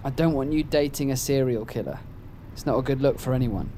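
A man's voice: medium pitch, brisk, dark tone, a little hiss or hum, lightly acted. A man playing a teasing but concerned friend in an audio drama, casual and wry, with an American accent. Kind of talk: naturalistic audio drama acting.

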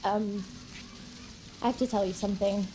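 A woman's voice: medium pitch, fairly even intonation, moderate pace, noisy background, lightly acted. An actress playing a shy, hesitant teenage girl in a naturalistic audio drama. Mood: shy, nervous.

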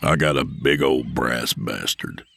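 A man voicing a gruff, domineering middle-aged bully who talks slow, low and threatening. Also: animated, fairly bright tone, no room sound, heavily acted. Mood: menacing.

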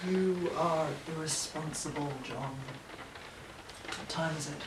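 Audio play: household woman, lower register, distant and roomy, noisy background. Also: slow. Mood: reproachful. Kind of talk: audio drama acting. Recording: echoey, off-mic, noisy room.

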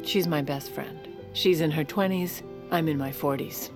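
A low-voiced, haughty First Lady. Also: measured pace, over music. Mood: dry, aloof. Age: 40s. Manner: deadpan, haughty. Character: sardonic, self-assured First Lady in her forties, a ghost narrator. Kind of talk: wry audio drama narration and dialogue.

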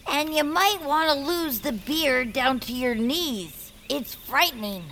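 A woman's voice: high voice, slow, bright, forceful tone, a little hiss or hum, full character voice. A woman voicing a young, mopey woodland creature in a family audio drama, with a dry, skeptical, hesitant manner. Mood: wry, doubtful. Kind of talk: family audio fiction character acting.

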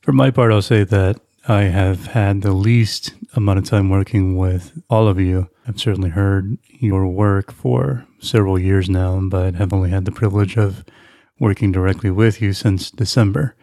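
A man hosting a podcast conversation, putting questions to guests in a measured, courteous way. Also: sits low, fairly even intonation, warm tone, no room sound. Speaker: podcast host, interviewer. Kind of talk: moderated conversation.

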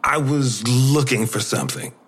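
A man playing an injured, tender boyfriend in an audio drama, earnest and a little halting. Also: medium pitch, natural voice. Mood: evasive.

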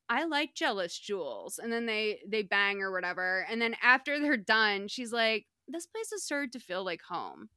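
Woman, podcast host, bright tone, wry slangy storytelling, casual chat, dry close sound. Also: on the high side, no room sound. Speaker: podcast host recapping a film. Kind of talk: casual comedic recap chat.

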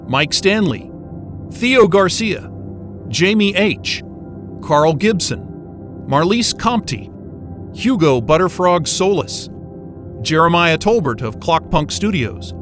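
A man's voice: a little high, a bit bright. A man speaking as a podcast co-creator, reading a scripted thank-you announcement to listeners.

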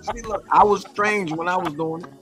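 A man's voice: high voice, normal speed. A man co-hosting a podcast, tossing out dry one-liners in casual chat. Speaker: podcast co-host.

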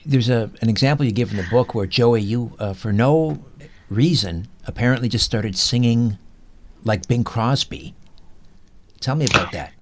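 A man's voice: mid-range voice, normal speed. A man hosting an interview show, putting conversational questions to his guests.

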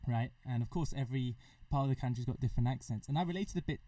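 Fast, mellow-voiced man, distant mic. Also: mid-range voice, no room sound. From Mexico. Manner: quick, rambling, with repeated words. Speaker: podcast host. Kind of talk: casual chat. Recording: distant mic in a dry room.

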